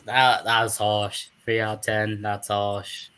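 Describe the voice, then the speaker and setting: A man's voice: fairly low pitch, level tone, slow pace, pushed and bright, clean tone. A man with an English accent, chatting casually as a guest on a livestream. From England. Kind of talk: casual chat.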